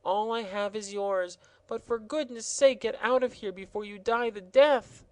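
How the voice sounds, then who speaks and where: A man's voice: a little slow, darkish tone, clear, resonant tone, dry recording. A man reading a storybook aloud and voicing a weepy, fawning royal gardener in a raised, pleading voice. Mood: doting, tearful. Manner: high, pleading and overwrought. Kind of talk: audiobook character voice.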